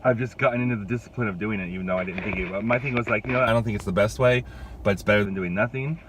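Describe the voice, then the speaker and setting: A man's voice: lowish voice, rapid-fire, background noise. A young adult man, a youth pastor, chatting casually to his phone camera while fighting a cold, rambling with restarts. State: congested, fighting a cold, voice rough. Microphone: phone held at arm's length.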